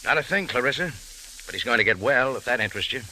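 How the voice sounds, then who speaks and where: Hard-boiled American hero-narrator, mid-pitched, lively inflection, 1940s radio drama. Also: male voice, fast talker, a little hiss or hum, low-quality recording. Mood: cool. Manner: wry, terse, hard-boiled delivery. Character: tough, cool American adventurer and narrator in Cairo.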